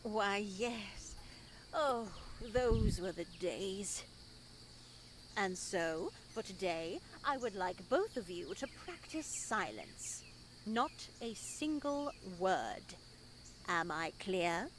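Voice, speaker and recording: Slow, stern, sanctimonious nun. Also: female voice, medium pitch, very animated, a little hiss or hum. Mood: solemn, measured. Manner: measured, formal, preachy. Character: stern, sanctimonious nun lecturing two young women in her care. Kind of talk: dramatic visual novel voice acting.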